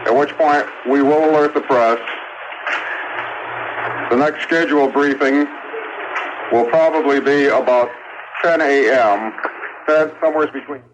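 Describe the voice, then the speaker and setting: A man giving a formal medical statement as a spokesman, in careful, halting phrases. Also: mid-range voice. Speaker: hospital spokesman. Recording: muffled, noisy archival press feed.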